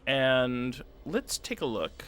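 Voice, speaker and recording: A man's voice: mid-range voice, sing-song, slow pace, a bit bright. A man preparing his tabletop campaign on a stream, thinking aloud and reading long rulebook passages, now and then humming to himself. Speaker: game master prepping a campaign. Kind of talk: casual solo prep stream, reading rules aloud. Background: background music.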